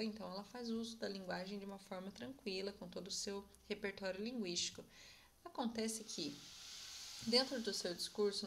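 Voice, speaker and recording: Young woman giving a measured academic talk. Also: mid-range voice, gravelly, a bit bright, a little hiss or hum. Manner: measured, explanatory, often asks "né?" to check in. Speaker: conference presenter. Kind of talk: academic conference presentation.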